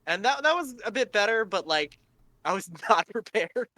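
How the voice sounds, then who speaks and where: High-voiced young man, bright and crisp. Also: animated, no echo. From Canada. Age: 20s. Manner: rambling and profane, quick to laugh. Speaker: podcast host. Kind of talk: casual chat.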